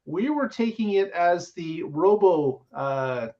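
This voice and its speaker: A man's voice: sits high, lively intonation, takes it slowly, darkish tone, highs cut off. A man hosting a casual podcast, talking through the week's news with many filler words. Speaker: podcast host.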